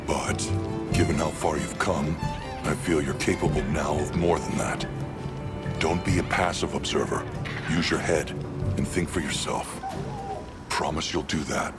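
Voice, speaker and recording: Very deep-voiced stern hunter. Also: male voice, steady tone, unhurried, long pauses. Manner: firm, terse, authoritative. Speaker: game character, hunter. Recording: game cutscene with loud music.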